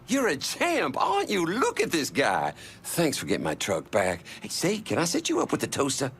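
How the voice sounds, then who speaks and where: A man's voice: a little high, huge pitch swings, normal speed, fairly bright tone, a little hiss or hum, exaggerated voice. A man playing a fussy, chatty, easily indignant small-time salesman in a crime game, with folksy, mild-mouthed turns of phrase. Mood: pleased. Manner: folksy, mincing oaths, hesitant then indignant. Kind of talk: dramatic video game acting.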